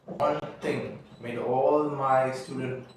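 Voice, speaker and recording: A young man whose first language is Tamil, telling a halting, earnest confessional story and often restarting his words. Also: medium pitch, level tone, noisy background. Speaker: vlogger. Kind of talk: confessional monologue. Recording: echoey room, mic at a distance.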